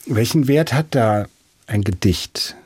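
A man's voice: medium pitch, animated, slow pace, darkish tone, slightly rough, no room sound. A man working as interviewer and host of a cultural radio programme, speaking in a studio.

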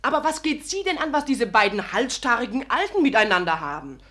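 A woman's voice: a little high, very animated, a little fast, fairly bright tone. An actress playing a chatty, saucy young serf maid in a German radio drama, gushing and rambling as she talks. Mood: cheeky, eager. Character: cheeky, chatty young serf maid, loyal and fun-loving.

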